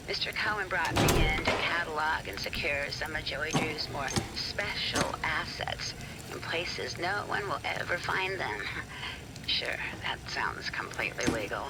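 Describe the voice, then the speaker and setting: An actress playing a dry, sarcastic, reluctant female archivist on a noisy audio log in a video game. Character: wry, reluctant female archivist hiding treasures. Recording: very noisy, rumbling vintage recording.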